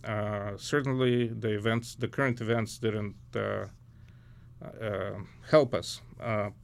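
A man's voice: lowish voice, fairly even intonation, takes it slowly, vocal fry. A middle-aged man from Ukraine, speaking as an interview guest in an earnest, reflective way and often searching for words. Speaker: guest, nonprofit founder. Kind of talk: conversational interview.

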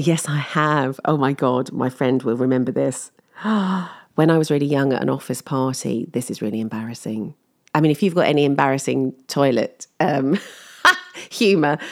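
A woman chatting casually to listeners in a personal update, slightly croaky from lack of sleep, laughing as she tells embarrassing stories. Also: rumbling low. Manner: chatty, self-deprecating, laughs while telling stories. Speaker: vlogger.

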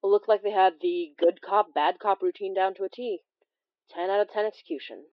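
A woman playing a wry, observant immigrant healer who narrates a horror audio drama in a confiding, measured way with dramatic pauses. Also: mid-range voice, fairly even intonation, dry recording, dull audio, lightly acted. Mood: wry, guarded.

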